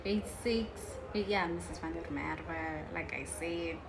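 A woman's voice: lowish voice, unhurried, a crowd in the background. A young woman in her twenties with a South African accent, chatting casually to camera at home and drifting into joking asides. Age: 20s. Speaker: YouTuber. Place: living room at home, indoors.

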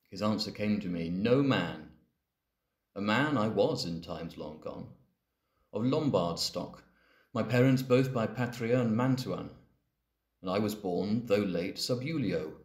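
A man reading poetry aloud as a dramatic recitation. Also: fairly low pitch. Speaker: poetry reader. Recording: echoey, reverberant room.

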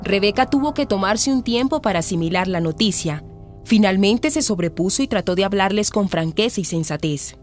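A woman with a Colombian accent reads scripted narration for a radio drama. Her voice sits at a medium pitch, and soft music plays behind her. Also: expressive, brisk.

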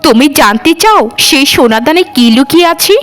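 A woman's voice: high voice, animated, fairly quick, fairly bright tone. A woman voicing a greedy, self-pitying maidservant in a cartoon, with melodramatic, pleading delivery. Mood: desperate demanding. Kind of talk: dramatic cartoon voice acting.

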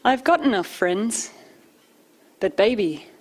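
A woman performing spoken word poetry live, with measured, deliberate phrasing and pauses. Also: medium pitch, very animated, darkish tone. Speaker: poet. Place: live poetry night venue.

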